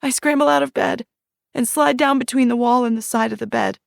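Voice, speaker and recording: A woman's voice: sits high, fairly even intonation, a little fast, gravelly, dry recording, studio quality, voice pushed up. A woman voicing a terrified young woman who relives a childhood night as a small girl, speaking in a trembling present-tense recall.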